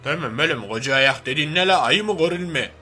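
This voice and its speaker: Man doing a brash, forceful hairy oaf. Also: a little high, animated, fast talker. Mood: uneasy, threatening. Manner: brash slangy street talk, threatening and grumbling. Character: crude, hairy, hot-headed Turkish oaf playing superhero. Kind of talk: improvised comic game-cartoon dub. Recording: music behind the voice.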